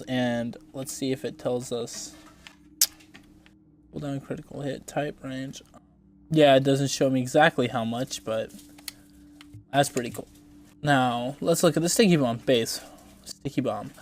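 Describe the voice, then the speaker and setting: A man's voice: medium pitch, a little mellow. A young man with a congested, stuffy voice, casually commenting on a video game as he plays, rambling and thinking aloud with some swearing. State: congested from a cold. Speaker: gameplay streamer.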